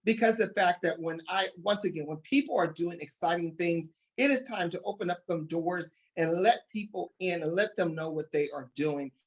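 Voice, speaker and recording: An upbeat man hosting a talk show. He speaks with excited emphasis and addresses his listeners directly, at a middling pitch. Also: normal speed, few pauses.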